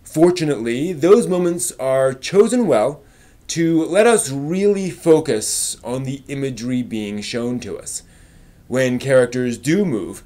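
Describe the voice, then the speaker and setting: A man hosting a review stream, reading scripted commentary with emphatic, measured phrasing and casual conversational asides. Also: medium pitch, dark tone. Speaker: host of an anime review live stream.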